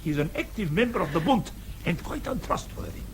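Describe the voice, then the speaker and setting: A man's voice: high-pitched, big pitch swings, fairly quick. An actor playing an anxious Tyrolean mountain guide and father in a radio drama, formal and deferential. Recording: radio drama with faint background noise.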